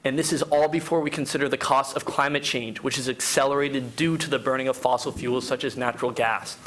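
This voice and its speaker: A man's voice: mid-range voice, a bit bright. Articulate man reading a prepared speech. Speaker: public commenter at a council meeting. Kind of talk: prepared speech read out, formal. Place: council meeting room.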